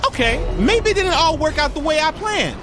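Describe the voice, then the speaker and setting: An actor playing a cocky but let-down gangster rapper in a video game audio log, rueful and self-justifying. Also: male voice, very high-pitched, very animated, normal speed. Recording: music and engine noise behind.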